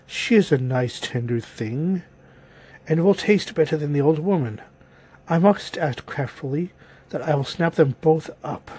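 A man's voice: fairly high pitch, animated, a little slow, warm tone, husky. A man voicing a crafty, malicious wolf in a storybook tale, with a sly, coaxing manner. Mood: scheming.

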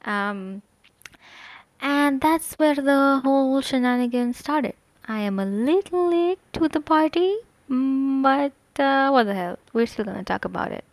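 A woman's voice: sits high, lively intonation, unhurried, no echo. A woman hosting a casual solo podcast, chatting animatedly about a book with frequent fillers and asides. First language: not Korean. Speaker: podcast host.

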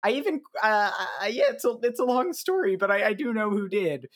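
Woman on a podcast, stumbly and hesitant, pitch bounces around. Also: lively intonation. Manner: hesitant, halting with many fillers. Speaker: podcast co-host. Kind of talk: casual comedic chat.

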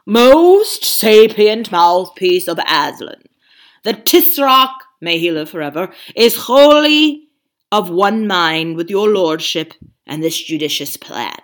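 A woman's voice: mid-range voice, lively intonation, takes it slowly. A woman doing a pompous flowery captain. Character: pompous bowing foreign male captain.

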